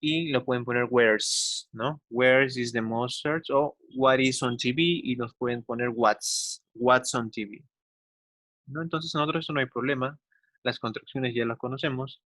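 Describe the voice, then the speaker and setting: A man's voice: mid-range voice, fairly bright tone, no echo. Didactic man teaching English. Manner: didactic, slowly enunciates English examples, often seeks agreement with tag questions. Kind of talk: instructional online class for children.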